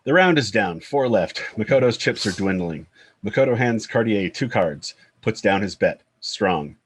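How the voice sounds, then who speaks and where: A man's voice: on the low side, animated, moderate pace, slightly rough. A man giving scripted narration, reading out the scene directions of a screenplay at a table read.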